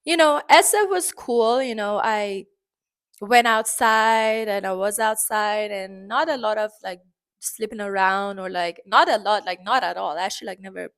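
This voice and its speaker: A woman from Iran with an Iranian accent, speaking English as a second language, chatting expressively in a casual vlog full of fillers and sudden pitch jumps. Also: moderate pace, a bit bright. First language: Farsi. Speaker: vlogger.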